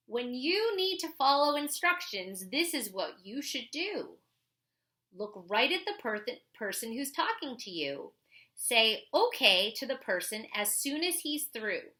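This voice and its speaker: A woman reading aloud and explaining to children as a teacher, instructive, deliberate and expressive. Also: fairly high pitch.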